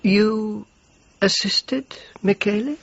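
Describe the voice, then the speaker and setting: An actor playing a courteous, reserved host with quiet sympathy in a period radio drama, measured and polite. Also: male voice, high voice, steady tone, exaggerated voice. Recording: dull lo-fi audio with clear noise.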